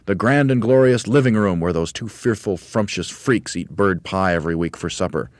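A man voicing an excitable, bossy monkey leader in a storybook, shouting urgent commands. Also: low-pitched, normal speed, no echo, voice pushed up, lightly acted.

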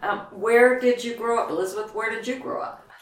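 A woman interviewer asking gentle, hesitant questions in an oral history interview at an interviewee's home. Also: on the high side, fairly even intonation, brisk, heavy background noise. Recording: roomy, from far off.

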